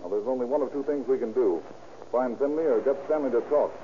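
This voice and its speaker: Man playing a quick-talking, authoritative police captain. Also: medium pitch, close to an everyday voice. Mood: pressing, stern. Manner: brisk, pointed, businesslike. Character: shrewd, authoritative male police captain interrogating. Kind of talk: 1930s radio acting. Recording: muffled, noisy old radio recording.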